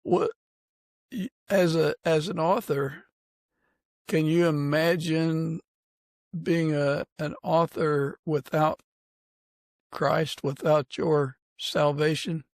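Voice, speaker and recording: Slow, gravelly man hosting a podcast. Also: fairly high pitch, steady tone, dry recording. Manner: halting, agreeable, affirming. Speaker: podcast host. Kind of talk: casual interview chat.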